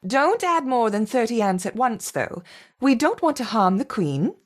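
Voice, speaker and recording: A woman's voice: a little high, very animated, moderate pace. A woman playing an authoritative lead scientist in a video game, with a clipped, commanding manner. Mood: approving, cautious. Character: authoritative female lead scientist overseeing experiments. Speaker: research supervisor character. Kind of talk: video game character acting.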